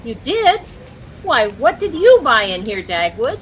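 An actress with an American accent playing a chirpy, easily dazzled young housewife who gushes and lightly scolds in a 1940s radio comedy. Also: female voice, fairly high pitch, very animated, measured pace. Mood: curious, teasing. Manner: gushing, breathlessly admiring, lightly scolding. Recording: noisy old-time radio recording.

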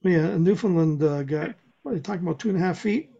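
A man's voice: on the high side, fast, gravelly, dark tone, breathy edge, lo-fi sound. A man presenting a radio show in casual group conversation, with a dry, joking way of talking.

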